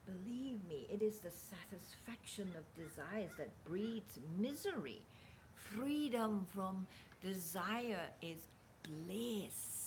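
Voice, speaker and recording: A woman reading spiritual teachings aloud in a deliberate, emphatic way, sometimes dropping toward a breathy near-whisper. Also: medium pitch, a little mellow, a little hiss or hum. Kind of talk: slow dramatic reading of spiritual dialogue with casual asides.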